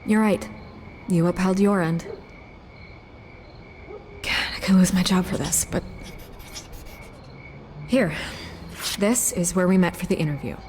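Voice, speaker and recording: A woman playing an earnest, probing news reporter in a video game, speaking in a pointed, deliberate, naturalistic way. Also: fairly low pitch, darkish tone, slight background noise, natural voice. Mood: anxious, conceding.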